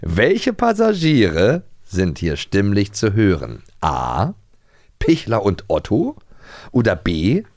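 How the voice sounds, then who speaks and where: A man's voice: fairly low pitch, wildly swooping pitch, slow pace, a little mellow, no room sound, studio quality. A man co-hosting a podcast, chatting casually with easygoing, jokey banter. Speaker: podcast co-host. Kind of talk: casual chat.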